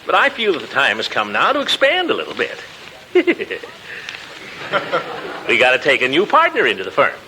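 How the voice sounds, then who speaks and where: An actor playing a pompous, fond, flustered middle-aged uncle in a blustery, hesitant 1940s radio comedy style. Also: male voice, medium pitch, wildly swooping pitch, moderate pace, pauses often, sharp tone, full character voice. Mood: fond, fatherly. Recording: noisy 1940s radio recording.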